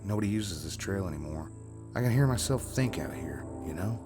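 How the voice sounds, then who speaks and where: A man voicing a pale, eerie, calmly menacing jogger in a horror story, with a halting, musing manner. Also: low voice, over music, voice pushed up. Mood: quietly menacing. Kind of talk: horror story character dialogue.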